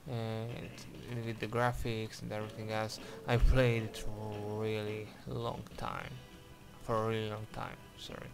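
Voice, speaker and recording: A man's voice: fairly low pitch, monotone, a little slow, vocal fry, over music. A man from Hungary with a Hungarian accent, commenting hesitantly on game footage in careful English, with many fillers and restarts. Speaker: game reviewer. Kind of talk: gameplay commentary and review. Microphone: voice-over over game footage.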